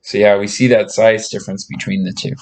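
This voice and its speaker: A man's voice: on the low side, level tone, fairly quick, a little mellow. A man reading a children's book aloud as narrator, with short asides to the listeners. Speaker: read-aloud narrator, teacher.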